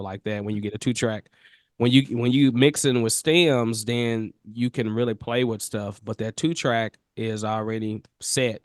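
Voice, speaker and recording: A man with a nasal, somewhat low voice guides a student through audio mixing on a video call. He talks in an easygoing, encouraging way, often repeating words. The sound is dry and close, with no room echo. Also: clear, resonant tone. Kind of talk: casual one-on-one tutoring on a video call.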